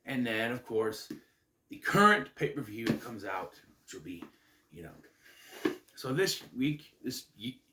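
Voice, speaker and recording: A man hosting his own wrestling review vlog, rambling casually while getting over a cold, a bit nasal and clearing his throat. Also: slow, background noise. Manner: chatty, self-interrupting, lively pitch swings. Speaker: host of a wrestling review channel. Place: his room at home. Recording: echoey room.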